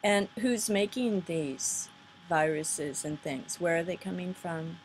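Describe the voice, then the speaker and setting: A woman's voice: on the low side, slow pace, slight background noise. A woman giving rambling, earnest, off-the-cuff commentary in a casual style, on a poor-quality home recording. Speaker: video commentator. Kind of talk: casual off-the-cuff commentary over played videos.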